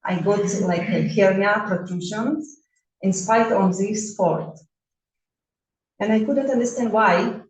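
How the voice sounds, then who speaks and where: A woman in her forties from Russia, a bodywork therapist introducing herself in halting non-native English with a Russian accent, full of fillers and restarts. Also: medium pitch, slight background noise. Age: 40s. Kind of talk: self-introduction talk. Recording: roomy echo, mic at a distance.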